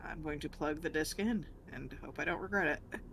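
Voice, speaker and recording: A woman's voice: deep voice, a little fast, creaky. A woman chatting casually as a player in a tabletop roleplaying game. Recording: faint noise and room ambience.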